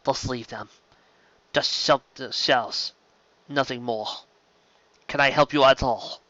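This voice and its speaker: A man voicing a grief-stricken English survivor in a story, with a stilted, halting, precise delivery. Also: mid-range voice, fairly even intonation, bright, forceful tone, dry recording, dull audio. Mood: bleak, haunted.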